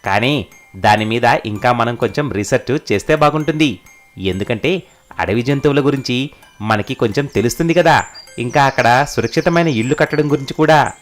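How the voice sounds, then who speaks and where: A man's voice: medium pitch, normal speed, faint music behind, lightly acted. A man playing an arrogant, wealthy businessman in a cartoon story, bossy and self-assured. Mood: planning. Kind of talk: dubbed cartoon story dialogue.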